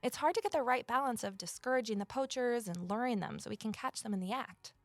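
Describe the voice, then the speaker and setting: An actress playing an earnest woman amateur investigator in an audio drama, talking in a gentle, sincere, conversational way. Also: on the high side, fast, dry recording, soft background music, natural voice. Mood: matter-of-fact, confiding. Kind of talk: audio drama dialogue and first-person narration.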